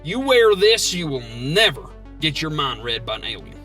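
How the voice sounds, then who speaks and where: A man with a rural American accent co-hosting a comedy podcast, bantering in a folksy, excitable, argumentative way. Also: fairly high pitch, moderate pace, soft background music. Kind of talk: comic banter.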